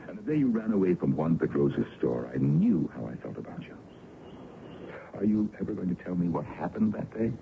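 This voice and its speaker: Very deep-voiced courtly older suitor, radio drama. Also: male voice, big pitch swings, a little fast, vocal fry, heavily acted. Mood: wistful, romantic. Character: older colonel, ardent suitor, worldly and persuasive. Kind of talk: old-time radio drama acting. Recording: dull, noisy vintage radio recording.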